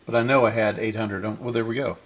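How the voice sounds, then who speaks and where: A man's voice: on the low side, fairly even intonation, fast talker. A middle-aged man hosting a casual hands-on repair demonstration, talking to himself unscripted as he works. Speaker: host of a vintage electronics repair channel. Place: indoor electronics workbench.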